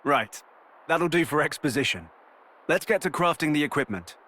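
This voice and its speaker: A man's voice: on the high side, lively intonation, moderate pace, sharp tone. A man voicing a knowledgeable, wry young inventor in a video game cutscene. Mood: wry, explanatory.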